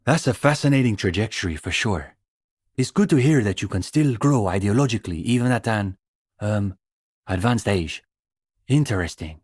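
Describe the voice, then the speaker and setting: A young man voicing an earnest, bookish communist student in a video game, idealistic and a little condescending, speaking in measured, hesitant intellectual phrases. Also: fairly low pitch, lively intonation, a little mellow, husky, dry recording, studio quality. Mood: condescending, scholarly. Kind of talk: video game character dialogue.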